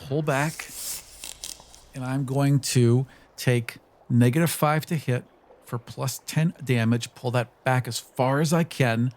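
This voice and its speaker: Mid-pitched man playing a tabletop game. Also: steady tone, slow pace, darkish tone, slight background noise. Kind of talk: casual in-game narration of own character's actions. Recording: music playing behind the voice. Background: background music.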